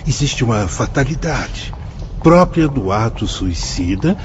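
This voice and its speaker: A wise male spirit guide with a Brazilian Portuguese accent, speaking in measured, patient explanations in a radio drama. His pitch rises and falls in a lively way. The recording sounds dull and muffled. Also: slight background noise. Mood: patient, instructive. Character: calm, wise adult male spirit instructor.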